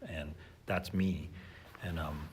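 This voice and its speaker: A man's voice: a bass voice, fairly even intonation, slow, slight background noise. A man, a teacher, talking earnestly and thoughtfully as a podcast guest. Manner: earnest, thoughtful, with fillers and restarts. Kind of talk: reflective conversational talk.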